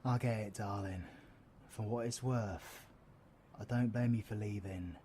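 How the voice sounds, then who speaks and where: A man's voice: fairly low pitch, steady tone, measured pace, husky. A British man playing a cheeky, flamboyant supervillain in an audio roleplay, with a slangy, theatrical manner. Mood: soft, sincere. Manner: theatrical, slangy, swinging from soft to shouting. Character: cheeky, flamboyant young male supervillain, affectionate and chaotic.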